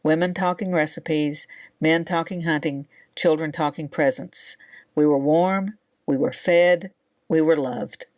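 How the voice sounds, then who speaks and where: A woman's voice: deep voice, fairly even intonation, a little slow, a little mellow, no echo. A woman from the Blue Ridge Mountains reading a scripted, nostalgic narration in a storytelling style.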